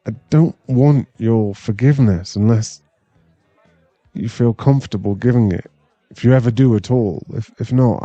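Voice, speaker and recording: A man playing an awkward, tender former bully of nearly thirty in an intimate ASMR boyfriend roleplay, speaking with halting sincerity. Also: lowish voice, dry recording, muffled recording, natural voice. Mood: apologetic, hesitant. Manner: hesitant, halting, soft-spoken sincerity.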